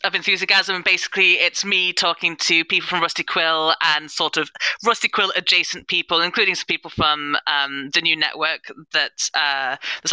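A woman talks casually on a panel with real enthusiasm. Her voice is very bright and forceful, with a smooth, clear tone. The sound is close and dry. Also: lowish voice, no room sound, rough recording. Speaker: panellist, audio drama producer and sensitivity consultant.

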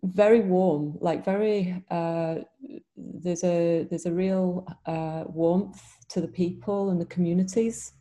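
Mellow-voiced Yorkshire woman. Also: fairly low pitch, takes it slowly. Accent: Yorkshire with some London. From Yorkshire, England. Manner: relaxed, thoughtful, many ums. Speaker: guest. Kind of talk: casual interview chat.

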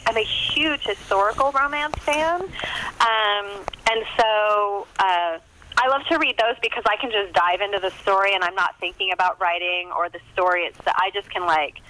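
Bright-voiced woman chatting as a guest author. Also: medium pitch, rough recording. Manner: chatty, enthusiastic about books. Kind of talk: casual interview chat.

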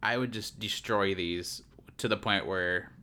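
A man's voice: on the low side, unhurried, sharp tone, clean tone. A man co-hosting a casual podcast, playful and joking, tripping over words. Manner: playful, laughs often, stumbles on words. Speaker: podcast co-host. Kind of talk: casual chat.

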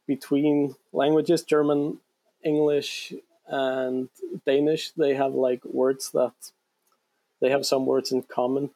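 A young man from Iceland, chatting casually as a guest in a relaxed, rambling way with many fillers. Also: mid-range voice, rough recording. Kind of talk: casual interview talk.

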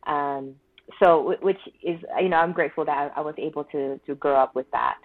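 A woman in her thirties talks in a low, chatty voice, laughing often and filling pauses as an interview guest. Her Mandarin-speaking roots show. The sound is dry, thin and phone-like, with no room around it. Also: no room sound. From Malaysia. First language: Mandarin Chinese. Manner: chatty, frequent laughs and fillers. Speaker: therapist guest. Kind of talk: casual interview conversation. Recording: dry, tinny phone-like line.

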